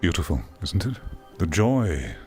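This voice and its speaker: Male game critic, low voice, dramatic pauses, reflective video-essay delivery over music. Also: sing-song, darkish tone. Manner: measured, theatrical, rhetorical delivery with pauses. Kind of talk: reflective scripted-sounding video essay commentary.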